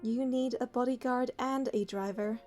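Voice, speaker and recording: A woman's voice: fairly high pitch, steady tone, normal speed, darkish tone, soft background music, close to an everyday voice. A woman voicing a young female hunter and bodyguard, narrating a romance game in an earnest, lightly teasing way. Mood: fond, playful. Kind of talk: scripted first-person game narration and dialogue.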